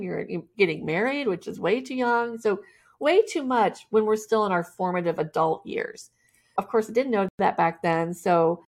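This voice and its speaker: Woman guest w a gravelly voice, chatty and candid, laughs a lot. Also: mid-range voice. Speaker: interview guest. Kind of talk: casual storytelling.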